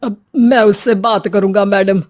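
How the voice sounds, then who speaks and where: A woman's voice: medium pitch, steady tone, unhurried, a little mellow, close to an everyday voice. A woman voicing a poor drunkard father, a deferential rickshaw driver, in a Hindi cartoon story. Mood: stern.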